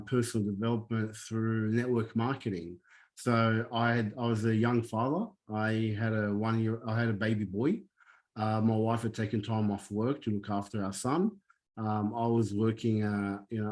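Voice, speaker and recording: A man's voice: on the low side, a little mellow. A man born in Lebanon who speaks Arabic natively, earnestly telling his life story as a podcast guest, with many ums and restarts. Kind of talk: candid personal storytelling.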